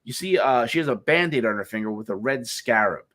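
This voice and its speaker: A middle-aged man co-hosting a casual live chat, talking in a halting way with many restarts. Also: medium pitch, lively intonation, fairly bright tone. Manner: halting, many restarts and fillers, laughs easily. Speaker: co-host.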